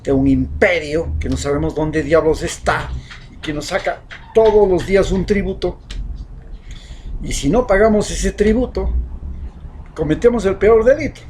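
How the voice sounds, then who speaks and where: A man with an Ecuadorian accent delivering an impassioned, rhetorical opinion monologue as a social commentator, swinging between loud outbursts and hushed asides. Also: high-pitched, normal speed, long pauses, a little hiss or hum.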